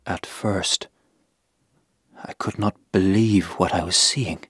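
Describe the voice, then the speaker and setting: An actor playing a haunted, guilt-stricken crusader, recounting in a halting, anguished way in a historical audio drama. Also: male voice, deep voice, fairly even intonation, fairly bright tone, slightly rough, no room sound, studio quality, exaggerated voice. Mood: hushed horror. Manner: halting, repeating words, anguished.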